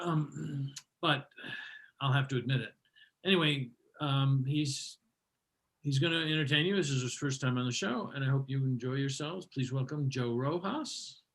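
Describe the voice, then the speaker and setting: Bright-voiced wry comedy emcee man. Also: medium pitch. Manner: wry, teasing banter. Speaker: comedy show host. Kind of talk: emceeing a virtual comedy show. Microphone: video call.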